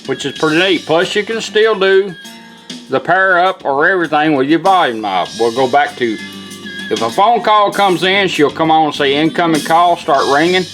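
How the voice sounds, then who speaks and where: A man's voice: a little high, expressive, normal speed, a bit bright. A man giving a casual, folksy product demonstration as he walks through the controls of a device. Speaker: radio restorer demonstrating a product. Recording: music playing from a radio behind. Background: radio music with guitar.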